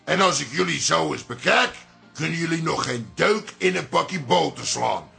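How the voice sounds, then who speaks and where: An actor playing a blunt, touchy older martial arts veteran with a gravelly voice, in a radio comedy drama. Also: male voice, fairly high pitch, lively intonation, normal speed, bright, forceful tone, soft background music, full character voice. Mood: blunt, cocky. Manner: blunt, teasing.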